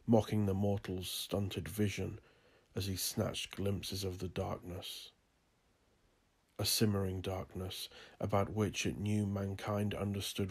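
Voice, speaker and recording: Male horror narrator: low, husky voice, measured and brooding, restrained intonation. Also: a little mellow. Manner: slow, brooding, deliberate delivery. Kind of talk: dark horror audiobook narration.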